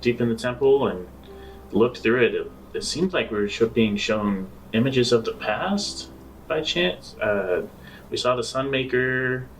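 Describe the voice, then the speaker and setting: A man playing a sheepish space adventurer in improvised tabletop roleplay, speaking haltingly and repeating himself. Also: medium pitch, animated, distant mic, a little hiss or hum, lightly acted, rough recording. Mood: hesitant. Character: sheepish male space adventurer reporting back.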